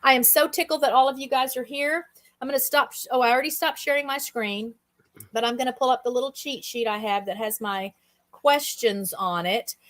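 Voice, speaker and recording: High voiced lady hosting a livestream, folksy and chatty, casual interview talk. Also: fairly quick, fairly bright tone. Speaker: livestream host. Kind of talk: casual chat, interviewing a guest.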